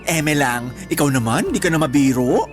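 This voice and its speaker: A woman's voice: low voice, lively intonation, normal speed, faint music behind. A woman playing a nosy, joking close companion, with exclamatory, mock-exasperated banter in a casual radio drama. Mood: teasing.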